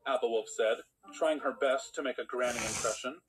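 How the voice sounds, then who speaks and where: A man's voice: mid-range voice, brisk, a bit bright, slightly rough, low-quality recording. A man narrating a scripted fairy tale in storybook style.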